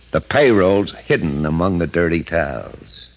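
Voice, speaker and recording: Casting: scheming crime boss, deep and expressive, vintage 1950s radio mystery style. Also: male voice, takes it slowly, highs cut off, heavily acted. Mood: confident, commanding. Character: scheming male crime boss, sly and commanding. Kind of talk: 1950s radio drama acting.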